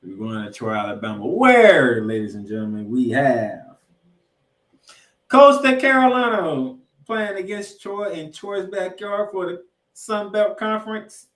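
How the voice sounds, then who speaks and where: A man co-hosting a sports podcast, previewing games and picks with drawn-out, emphatic announcer-style introductions. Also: high-pitched, big pitch swings, fairly bright tone. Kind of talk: college football game previews and picks. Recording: roomy, echoey sound.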